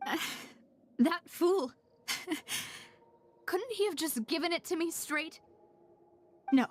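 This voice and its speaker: A proud young lady from a game drama, carrying grief with poise. Her voice is high, her manner reflective and a little bitter. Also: animated, takes it slowly, heavily acted. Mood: bitter hurt. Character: proud, grieving young noblewoman, daughter of a late boss.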